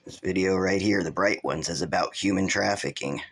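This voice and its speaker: A man's voice: deep voice, moderate pace, husky. A man hosting his own video channel, giving rambling, accusatory unscripted commentary. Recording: loud vehicle and background noise.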